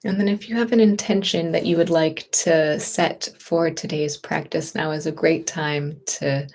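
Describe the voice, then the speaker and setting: A woman leading a guided meditation and gentle movement practice as a teacher, speaking in a gentle, unhurried, reassuring way. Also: deep voice, fairly even intonation.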